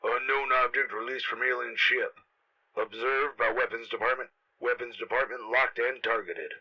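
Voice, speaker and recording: A man's voice: mid-range voice, normal speed. A man playing an impersonal weapons officer in a sci-fi radio drama, making clipped formal reports through an intercom filter. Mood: impersonal, alert. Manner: flat, clipped, formal announcements. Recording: dull, filtered intercom sound.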